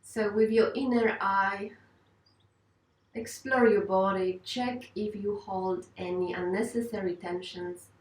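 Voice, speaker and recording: Female yoga teacher, gentle and reassuring guided relaxation, roomy sound, distant mic. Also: medium pitch, fairly even intonation, takes it slowly. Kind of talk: guided meditation instruction.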